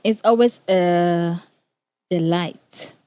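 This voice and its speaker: A woman's voice: lowish voice, drawn-out words, mellow, smooth, dry recording. A woman with a Nigerian accent on a comedy panel, teasing and laughing as she talks.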